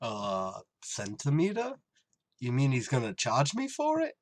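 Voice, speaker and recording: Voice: medium pitch, wildly swooping pitch, measured pace, heavily acted. An actor voicing a dim-witted, friendly tavern regular with a hesitant, dopey New drawl in a radio comedy. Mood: dim, puzzled. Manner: hesitant, muddled. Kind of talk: old-time radio comedy acting.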